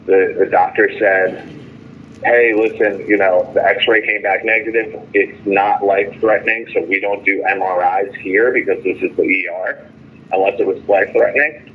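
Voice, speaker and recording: A man's voice: low-pitched, fairly even intonation, a little hiss or hum. Indignant man on a tinny phone line. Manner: halting, repetitive, with stumbling restarts; indignant, emphatic storytelling with quoted dialogue. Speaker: detainee calling in from jail. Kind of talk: unscripted grievance monologue over the phone. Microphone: telephone.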